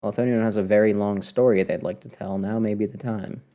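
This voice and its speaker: A man's voice: lowish voice, steady tone, fast talker, dark tone. A man giving casual live commentary on a video game, talking to himself and his viewers in a wry, sarcastic way. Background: game music.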